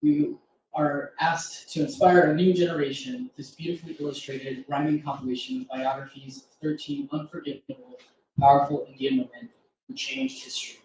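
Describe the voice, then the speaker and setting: A man's voice: fairly high pitch, lively intonation, brisk, a little mellow. A man hosting an event, introducing a guest in a formal, prepared way. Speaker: event host introducing an author. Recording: very echoey room, distant mic, faint noise.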